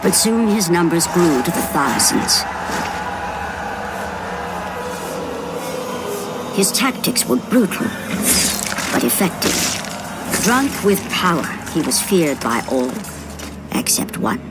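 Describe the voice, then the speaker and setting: A solemn female teller of ancient myth, with a fairly low voice. She narrates in measured, portentous phrases for a game cutscene, with loud music behind her. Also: big pitch swings. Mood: grave, ominous. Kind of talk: scripted dramatic narration.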